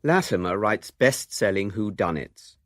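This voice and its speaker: A man's voice: fairly low pitch, lively intonation, unhurried, dry recording, clean studio sound. A British man presenting a scripted radio documentary in a wry, urbane way.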